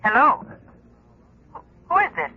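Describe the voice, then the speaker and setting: An actress playing a sour, sceptical convict's wife in a radio drama, sardonic and incredulous. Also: female voice, slow pace, close to an everyday voice. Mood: sardonic surprise. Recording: dull telephone-filtered old radio sound.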